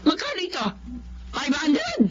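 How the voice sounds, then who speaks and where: A woman's voice: very high-pitched, very animated, a little slow, a loud crowd, full character voice. A woman acting a nagging, long-suffering older mother of grown children in a Filipino audio drama. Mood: angry, exasperated. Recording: dull lo-fi audio, faint noise.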